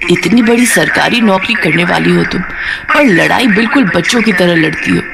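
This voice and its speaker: A woman's voice: lowish voice, animated, normal speed, gravelly. A woman voicing a loving, exasperated older mother-in-law who keeps the peace in a cartoon family story. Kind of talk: Hindi cartoon moral story acting.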